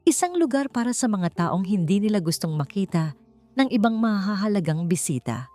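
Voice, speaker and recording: A woman with a Filipino accent narrating a scripted dramatic story in an expressive, measured storytelling manner. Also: medium pitch, no echo. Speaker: audiobook narrator. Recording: music behind the voice. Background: background music.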